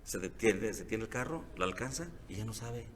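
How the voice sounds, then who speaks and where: A man's voice: lowish voice, lively intonation, brisk. A man, a guest in a reflective podcast talk, who explains his points with casual examples. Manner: conversational, gives examples. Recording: hall reverb with faint noise.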